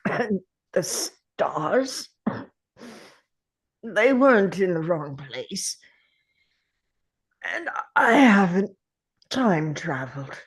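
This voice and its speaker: Performer acting a dying male alien lord, slow, halting, pained, grim and stoic, sci-fi drama monologue. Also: medium pitch, sing-song, husky, exaggerated voice. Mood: grief-stricken. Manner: halting, sighing, pained delivery. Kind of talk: dramatic monologue in a script table read.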